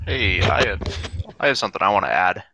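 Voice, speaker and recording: A young man in his twenties who was a former student, telling his story haltingly with many fillers over a dull, lo-fi call line. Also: low voice, lively intonation, vocal fry, bright, forceful tone. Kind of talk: casual personal account.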